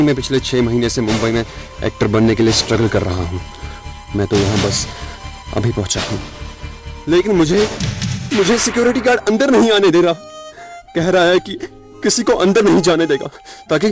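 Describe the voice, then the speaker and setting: A man acting a grieving young struggling actor in a radio drama, pleading and repeating himself haltingly. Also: a little high, expressive, over music. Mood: subdued.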